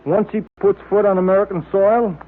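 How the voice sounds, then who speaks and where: Easygoing detective's pal played by a man, chummy and informal, slightly high voice, vintage radio acting, thin noisy audio. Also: fairly even intonation, fairly quick, lightly acted. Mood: casual, questioning. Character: breezy, easygoing young male detective's sidekick. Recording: old tinny noisy radio recording.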